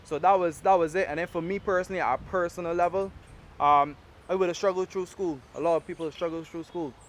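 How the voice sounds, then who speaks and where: A young Barbadian man with a Barbadian accent, an activist telling his story in a casual, animated interview. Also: high-pitched, quick pace, slight background noise. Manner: animated storytelling in Caribbean dialect. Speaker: award-winning activist guest.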